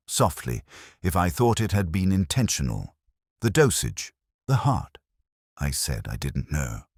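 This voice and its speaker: Male actor as reflective detective, very low voice, dry close sound, solemn, measured literary storytelling, radio drama narration. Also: dry recording, full character voice. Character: weary, reflective older English male police detective, narrating. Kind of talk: scripted first-person detective story narration.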